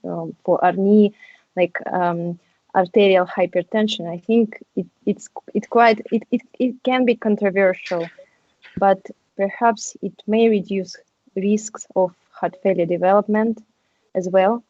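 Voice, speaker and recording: A woman's voice: mid-range voice, steady tone, a little slow, no echo. A woman moderating a medical panel, a native Russian speaker, hesitant and searching for words. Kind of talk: panel discussion. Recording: muffled dry video call audio.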